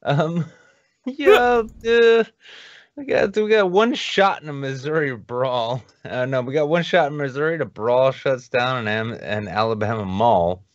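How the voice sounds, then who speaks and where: A man co-hosting a podcast, talking in a mocking, animated way and laughing often. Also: medium pitch, unhurried, dry recording, muffled recording. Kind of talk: casual podcast chat.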